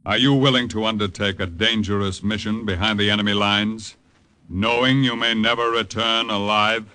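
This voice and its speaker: An actor playing a grave, commanding wartime recruiter in the dramatic opening of a 1950s radio drama. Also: male voice, fairly low pitch, fairly even intonation, normal speed, bright, forceful tone, smooth. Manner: stern, dramatic. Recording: old radio recording, faint noise.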